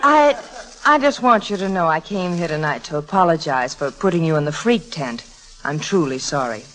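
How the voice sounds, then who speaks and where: A playful young socialite in a 1940s radio comedy. Her voice is on the low side and very expressive, teasing and affectionate, through clearly noisy old audio. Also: normal speed, some chatter behind. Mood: sorry. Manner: coy, teasing.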